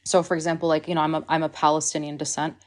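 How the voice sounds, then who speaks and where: A woman with a very deep voice that stays level and flat. She speaks as a podcast guest in an earnest, reflective way, piling in "like" and "you know" as she works through her ideas. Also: rapid-fire. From Missouri, United States. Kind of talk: podcast discussion.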